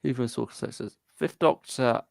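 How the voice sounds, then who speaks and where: A man's voice: mid-range voice, moderate pace, creaky, dry recording. A man chatting casually as co-host of a fan discussion show, thinking aloud a little hesitantly. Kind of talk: casual chat, reading out viewer comments.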